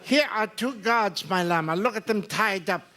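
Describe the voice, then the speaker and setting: An actor playing a mild, devoted assistant in a stage re-creation of an old radio drama, speaking haltingly. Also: male voice, high-pitched, bright, forceful tone. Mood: hesitant. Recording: very noisy live stage recording.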